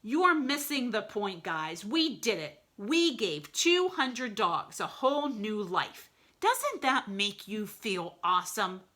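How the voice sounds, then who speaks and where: Casting: bright, enthusiastic wolf gang leader for a storybook, big-hearted male character, read by a woman. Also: medium pitch, sing-song, slow pace, exaggerated voice. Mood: earnest. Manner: earnest, enthusiastic.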